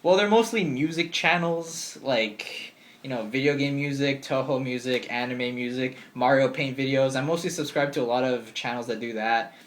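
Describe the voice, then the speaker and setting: A man's voice: medium pitch, normal speed. Man, 20, channel host; easygoing chatty Q&A delivery, filler-heavy, mid-range voice. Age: 20s. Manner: chatty, upbeat, lots of ums and likes. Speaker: channel host answering viewer questions. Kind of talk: casual Q&A vlog. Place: bedroom with game shelves.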